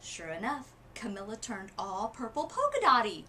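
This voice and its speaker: A woman reading a children's storybook aloud as a literacy coach, with expressive emphasis and dramatic pauses. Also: a little high.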